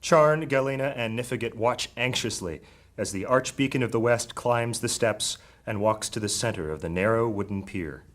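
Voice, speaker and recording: A man's voice: mid-range voice, normal speed, a bit bright. A man narrating a fantasy audio drama in a dramatic, scripted storytelling style.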